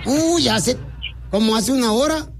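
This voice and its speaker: High-voiced teasing man. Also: unhurried. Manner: teasing, joking, silly. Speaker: radio prank caller and host. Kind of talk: prank phone call comedy.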